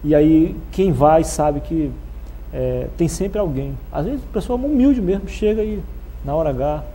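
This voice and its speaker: A Brazilian man with a husky voice, hosting a television talk show in a chatty presenting style. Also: fairly high pitch, animated, a little slow, mellow, slight background noise, low-quality recording. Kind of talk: live show presenting with sponsor read and chatty interviewing.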